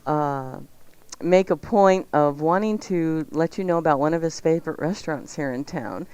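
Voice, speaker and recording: Female co-host, deep for a woman and smooth, chatting informally to the viewers at home. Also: moderate pace, darkish tone, no echo. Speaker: cooking show co-host. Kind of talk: casual presenting and banter.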